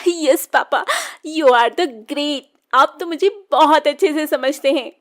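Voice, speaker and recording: Cartoon daughter, played by a woman: spirited, high-pitched, whiny and headstrong, unhurried delivery. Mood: delighted. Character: spirited, pouty young daughter, pleading and stubborn. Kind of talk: children's cartoon story acting.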